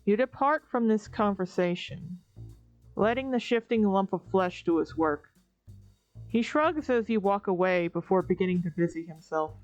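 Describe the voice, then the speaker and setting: A woman giving scripted second-person narration of a horror story, measured and theatrical. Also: mid-range voice, lively intonation, a little mellow. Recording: dull recording with background music.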